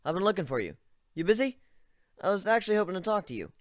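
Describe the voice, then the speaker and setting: A man voicing a friendly, eager young griffin in a storybook reading, talking brightly. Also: high-pitched, sing-song, fast, no echo, clean studio sound, pitched above the performer's own voice.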